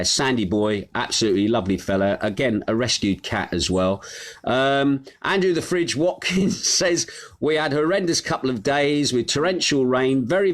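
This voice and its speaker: A middle-aged man from the United Kingdom hosting a live stream from his home, chatting casually and reading out viewers' names with plenty of fillers. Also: medium pitch. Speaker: host of a live news stream. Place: his home on a Greek island.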